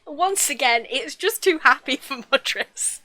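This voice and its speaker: A woman co-hosting a casual podcast chat, giggly and excitable, gushing about what she likes. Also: high voice, unhurried, rough recording. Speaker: podcast co-host.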